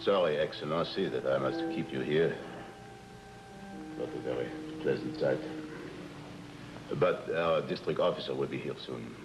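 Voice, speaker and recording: An actor playing a grave, deferential local official in an old-time drama, measured and soothingly courteous. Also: male voice, a bass voice, music in the background, low-quality recording. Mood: grave, apologetic.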